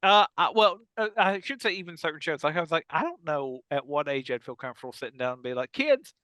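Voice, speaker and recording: Bright-voiced man chatting on a podcast. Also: a little high, animated, quick pace, dry recording. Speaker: podcast co-host. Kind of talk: casual comic panel chat.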